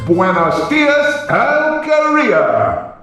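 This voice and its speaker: An actor playing a pompous, grand-sounding disc jockey on air in a comedy radio play. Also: male voice, very high-pitched, huge pitch swings, very slow, a bit bright, faint music behind, exaggerated voice. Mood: grandly ominous. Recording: very echoey, distant from the mic.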